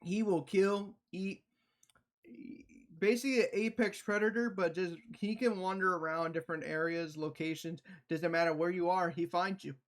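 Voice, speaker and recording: A man livestreaming casual reaction commentary, talking in a slangy, profane, animated storytelling way. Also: sits high, moderate pace.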